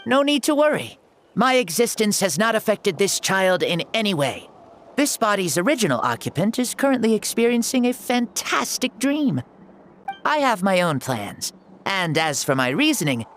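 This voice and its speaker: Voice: medium pitch, very animated, moderate pace, lightly acted. An actor playing an ancient hero who inhabits a young boy's body in a video game, theatrical, jaunty and self-assured. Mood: earnest.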